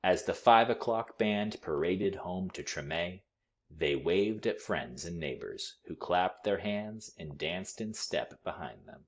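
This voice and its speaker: A man reading a picture book aloud to children in an expressive, teacherly way. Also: low voice, takes it slowly. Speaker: school read-aloud storyteller.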